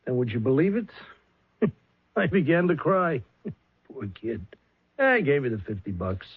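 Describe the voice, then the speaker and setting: Blustering, cynical male hustler boss, husky and animated, gruff slang, vintage radio drama sound. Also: mid-range voice, a little slow, full character voice. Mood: mock pious. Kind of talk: old-time radio drama acting. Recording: muffled vintage 1970s radio recording.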